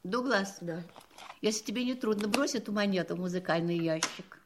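A woman's voice: mid-range voice, brisk, noisy background, lightly acted. An actress playing an elderly spinster lady in a drama, candid and gracious, with a reflective, teasing manner. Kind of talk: acted radio-play style dialogue. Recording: music playing behind the voice.

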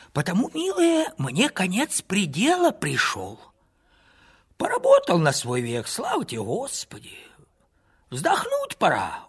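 A man voicing a pleading old peasant wanderer. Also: full character voice. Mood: wistful. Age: 60s. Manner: shifts between hushed whispers and high excited outbursts; folksy rustic speech.

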